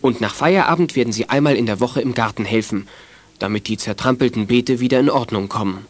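A man's voice: mid-range voice, a little fast, no echo. A man narrating a children's radio drama in scripted storytelling style.

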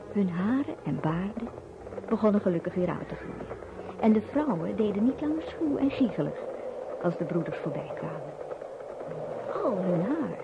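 A woman narrating a radio play as a storyteller, with dramatic phrasing, deliberate pauses and rhetorical questions. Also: lowish voice, vocal fry. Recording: dull, band-limited old radio recording. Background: music.

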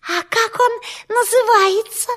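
A woman's voice: very high-pitched, takes it slowly, fairly bright tone, full character voice. A woman voicing a curious, trusting little boy fawn in a children's audio fairy tale, childlike and eager. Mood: curious, wistful.

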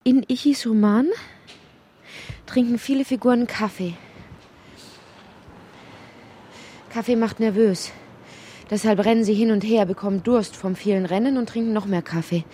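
A woman playing a whimsical narrator in a literary radio play, with a husky voice. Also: medium pitch, moderate pace, dark tone, a little hiss or hum, natural voice. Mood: brisk, animated.

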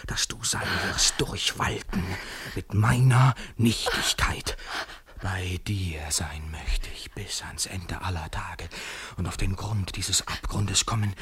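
Radio play lover: low, heavily breathy voice, impassioned poetic declamation, swinging between tender and desperate. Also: male voice, a bit bright, heavily acted. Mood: tender, desolate. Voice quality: breathy, husky. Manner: intense poetic declamation, theatrical.

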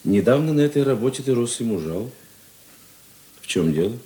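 An actor playing a seasoned police investigator in a radio play, calm, unhurried and gently ironic. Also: male voice, mid-range voice, natural voice. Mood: wry. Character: seasoned male police investigator chief, calm, wry, fatherly. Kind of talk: Russian radio drama acting. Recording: echoey room.

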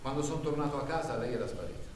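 Man as brooding abandoned lover, halting inward monologue, very echoey stage, distant mic. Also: medium pitch, darkish tone, a little hiss or hum, natural voice. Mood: melancholy. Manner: slow, halting, introspective delivery. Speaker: stage actor in a radio theatre broadcast. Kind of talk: theatrical monologue. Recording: echoey stage recording from far off.

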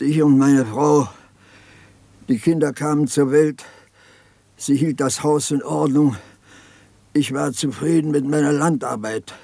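An actor in a 1950s German radio drama playing a guilt-ridden peasant partisan, brooding and insistent. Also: male voice, mid-range voice, vocal fry, a little mellow, slightly rough, no echo, full character voice. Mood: bitter, recounting. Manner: brooding, insistent confession, swinging from muttering to agitated outbursts. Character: haunted, guilt-ridden peasant partisan soldier, family man.

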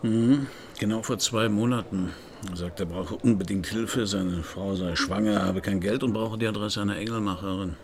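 An actor playing a laconic middle-aged private detective in a radio crime drama, with a dry, understated manner and a gravelly voice. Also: male voice, on the low side, moderate pace, slight background noise, lightly acted. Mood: dry probing. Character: wry, laconic French private detective, middle-aged man, narrating his case. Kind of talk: 1990s radio crime drama, first-person narration and dialogue.

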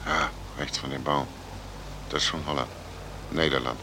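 An actor playing a gruff, stern but grudgingly helpful policeman in a 1940s radio drama, curt and commanding. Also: rumbling low, fairly even intonation, normal speed. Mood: brisk, instructing. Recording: noisy old 1940s radio recording.

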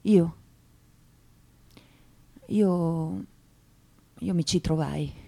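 A disdainful well-bred young woman, lowish voice. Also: gravelly, dark tone, full character voice. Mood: grave, candid. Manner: measured, precise, deliberate wording. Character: educated, disdainful young woman of impoverished aristocratic family. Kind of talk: theatrical radio drama monologue.

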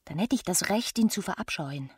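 A passionate young wife in a literary radio drama, trapped and bitterly resentful. Her voice is husky and recorded very dry, turned inward as brooding, intense monologue. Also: fairly low pitch, animated, brisk, dry recording. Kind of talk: literary radio play acting.

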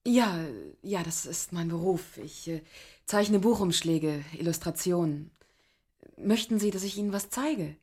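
A woman with a slightly low voice, playing a guarded newcomer to the countryside in a radio drama. She starts hesitantly, then turns pointed and teasing. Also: measured pace, a little mellow, breathy edge. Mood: eager, flustered. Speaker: illustrator. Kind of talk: German radio drama acting.

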